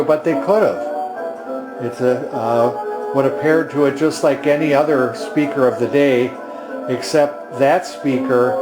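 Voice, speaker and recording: A man giving a casual, unscripted demonstration in a plainspoken, hesitant manner. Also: mid-range voice. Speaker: vintage radio restorer and demonstrator. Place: indoors at home. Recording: roomy home recording with music behind.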